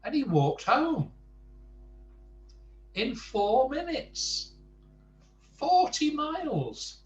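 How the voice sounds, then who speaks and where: A man's voice: very high voice, very animated, very slow, roomy sound, highs cut off, a little hiss or hum. A man reading a children's bedtime story aloud, adding chatty asides to the listener.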